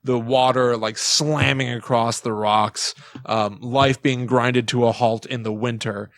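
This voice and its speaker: A man co-hosting a podcast, explaining things in a casual, halting way as he thinks aloud. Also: medium pitch, steady tone, a bit bright. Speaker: podcast co-host.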